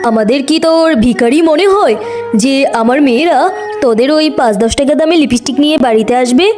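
Scornful tigress neighbour acted by a woman, high-pitched, snobbish, scolding, cartoon, faint music. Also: brisk, a little mellow, close to an everyday voice. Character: haughty, scornful tigress mother, a rude neighbour. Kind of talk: children's cartoon story dialogue.

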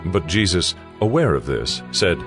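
A man's voice: sits low, sing-song, a little slow. A man reading scripted narration in a dramatized scripture production. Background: background music.

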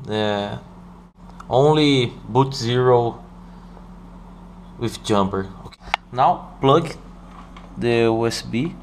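A man from Brazil with a Brazilian Portuguese accent, walking through a hardware setup in halting English, stopping often to ask "okay?". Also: mid-range voice, a little mellow, slight background noise. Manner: halting, with many "uh" and "okay?" checks. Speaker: hobbyist showing a hardware setup. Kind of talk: hands-on tutorial walkthrough. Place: indoor room at a desk.

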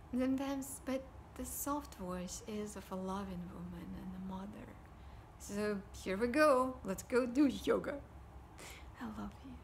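Home vlogger, forties, soft breathy delivery, phone-camera sound with steady hiss. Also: female voice, medium pitch. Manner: soft, often whispery delivery. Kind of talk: casual personal talk to camera. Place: living room at home, indoors. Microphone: device camera at desk distance.

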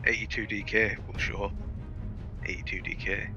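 A man's voice: fairly low pitch, flat delivery, normal speed, lo-fi sound. A man telling informal, sweary war stories over game voice chat in a dramatic, theatrical way. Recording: game voice chat, music behind.

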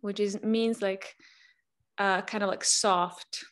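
A woman's voice: medium pitch, steady tone, slow, a bit bright. A young woman, a native Serbian speaker, co-hosting a podcast over a video call on a headset in a small room, explaining words in a chatty way with many fillers and tag questions. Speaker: podcast co-host. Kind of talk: casual language-learning chat.

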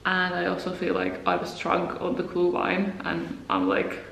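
A young woman vlogger chats casually to her camera indoors at home. She is tired, yet talks with an animated manner from a little way back in the kitchen. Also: lowish voice, normal speed, creaky, reverberant, slight background noise, rough recording. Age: young adult.

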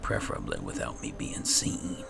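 A man giving roleplay gameplay commentary, muttering and thinking aloud in a gravelly voice. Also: a bass voice, steady tone, moderate pace. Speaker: gaming channel host. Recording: clear background game noise.

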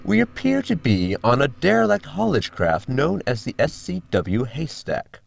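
A man plays a sardonic crewman in a science fiction comedy audio play. His gravelly, heavily creaking voice swoops dramatically up and down as he makes droll, unimpressed remarks. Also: medium pitch, huge pitch swings, moderate pace, faint music behind, full character voice. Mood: dryly sardonic. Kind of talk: sci-fi sitcom audio drama acting.

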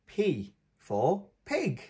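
Animated, slightly husky man reading to toddlers. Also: a little high, very slow. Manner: animated, emphatic, sing-song delivery of letters and words. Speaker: children's read-aloud channel host. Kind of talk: read-aloud for toddlers.

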